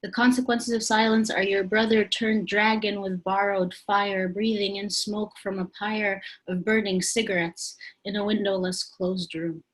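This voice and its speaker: A woman reciting her own poem on a video call, steady and rhythmic. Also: mid-range voice, measured pace, rough recording. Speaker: workshop participant. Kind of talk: reading her poem aloud.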